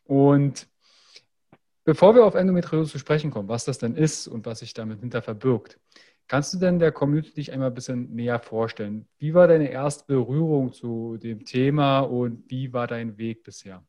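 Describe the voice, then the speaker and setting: A man's voice: mid-range voice, normal speed, dark tone. A man hosting a health podcast, reading an upbeat promotional intro and then interviewing a guest. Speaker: podcast host and health coach.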